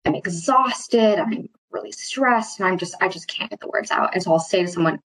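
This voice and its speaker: Woman, lowish pitch, husky tone, mild covert stutter, thoughtful and hesitant, reflective interview answers. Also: moderate pace, a bit bright, low-quality recording. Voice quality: mild, mostly covert stutter with occasional repeated starts. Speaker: interview guest, employee speaking on stuttering.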